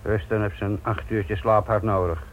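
Actor as sly, needling police inspector. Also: male voice, on the low side, steady tone, moderate pace, muffled recording, close to an everyday voice. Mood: sardonic, needling. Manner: feigned friendliness, sardonic baiting. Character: sly, needling male police inspector, mock-apologetic, gruff. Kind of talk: radio drama acting.

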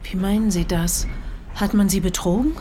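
A woman's voice: medium pitch, fairly even intonation, normal speed, dark tone, slight background noise, lightly acted. An actress playing a sympathetic, naive and slightly nervous married woman traveller in a German radio play, polite and earnest. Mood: shocked, concerned.

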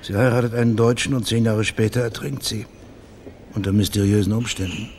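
Male private investigator, played by an actor, radio crime play: low register, plain-spoken, probing, background ambience audible. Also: flat delivery, brisk, darkish tone, a little hiss or hum, close to an everyday voice. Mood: matter-of-fact. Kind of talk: German radio crime drama acting.

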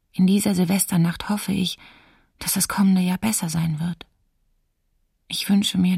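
Radio drama: wistful young woman diarist, breathy, subdued, even intonation. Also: fairly low pitch, no room sound, clean studio sound, distant mic, exaggerated voice. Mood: quiet hope. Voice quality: breathy, husky. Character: young female student writing a wartime diary, wistful, hopeful. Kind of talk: radio docudrama diary reading.

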